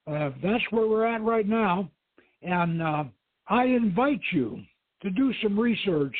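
High-voiced husky man, phone-like sound. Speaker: host reading aloud his own novel. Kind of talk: dramatic reading and informal hosting. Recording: thin phone-like radio line, roomy echo.

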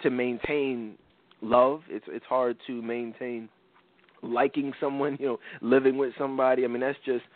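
A man's voice: medium pitch, flat delivery, normal speed, no echo. A man hosting a talk show, congested from a cold, rambling with many restarts. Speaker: podcast host. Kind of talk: casual talk show chat. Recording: tinny phone-like dry sound.

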